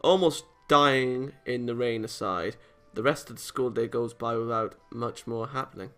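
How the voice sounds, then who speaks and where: A man's voice: medium pitch, normal speed, fairly bright tone, smooth. A man hosting a let's play, reading a visual novel aloud with casual comments and often stumbling over lines. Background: game music.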